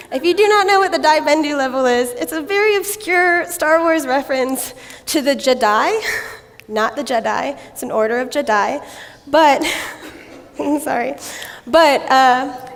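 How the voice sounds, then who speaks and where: A woman with a raspy voice from a cold, giving a playful, self-mocking conference talk on stage and laughing often. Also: high-pitched, big-room sound. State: recovering from a cold. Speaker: conference speaker.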